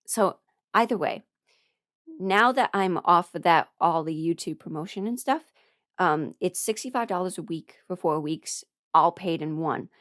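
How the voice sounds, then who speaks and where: A young woman speaks in a slightly low voice, close and dry with no room sound. She rambles candidly and confidingly, halting and restarting as she works through her thoughts. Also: fairly bright tone, no echo. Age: young adult. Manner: halting, self-quoting, frank and confiding. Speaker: voice performer sharing a personal ramble.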